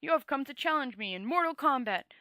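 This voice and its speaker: Casting: big young warrior lord, read by a woman, fantasy storybook, imperious and expansive, bright forceful delivery, slightly raised pitch. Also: expressive, fairly quick, no room sound, clean studio sound. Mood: grandiose. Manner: expansive, commanding. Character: heavily built young dragon lord, booming, imperious, mocking.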